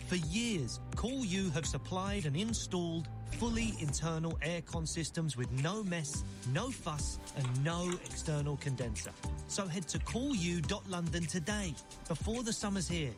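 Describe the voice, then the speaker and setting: A man's voice: on the high side, animated, a little slow, over music. A man voicing a radio advert with a punchy, upbeat delivery. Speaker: advert voice-over. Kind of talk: scripted advert.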